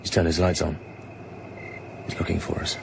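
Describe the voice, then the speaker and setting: An actor playing a suave, guarded British secret agent in a radio drama, with a clipped, commanding delivery and British accent. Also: male voice, extremely low pitch, flat delivery, normal speed, gravelly, background noise, heavily acted. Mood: tense, alert. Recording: loud music behind the voice.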